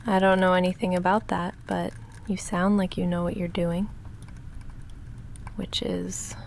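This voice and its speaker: A woman playing a young goth vampire roommate in an ASMR roleplay, speaking softly and often whispering in a dry, halting, self-deprecating way. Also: on the low side, slight background noise. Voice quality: soft, often whispered, breathy fry.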